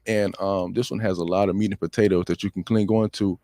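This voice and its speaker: A man's voice: low-pitched, fairly even intonation, fairly quick, dark tone, no room sound. An American man co-hosting a financial advice talk, conversational and reassuring, repeating his points and often checking in with the listener. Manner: reassuring, repetitive, checks in with "right?". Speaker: talk show co-host on real estate loans.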